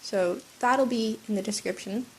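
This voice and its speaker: A woman's voice: on the high side, normal speed, breathy edge. A woman with a cold, slightly sniffly, reading a fan fiction story aloud at home with small restarts. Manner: soft, with small restarts and fillers. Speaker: podfic reader.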